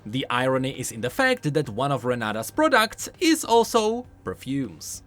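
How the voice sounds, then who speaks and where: A man's voice: medium pitch, huge pitch swings, normal speed, fairly bright tone, faint music behind. A man giving scripted explainer commentary as a video host, in an explanatory, emphatic manner.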